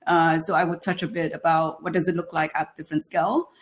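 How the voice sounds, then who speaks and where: Vietnamese woman giving a webinar talk, lowish voice, lots of uhs and restarts. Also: little pitch movement, fast talker. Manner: hesitant, many fillers and restarts. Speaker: guest speaker, design leader.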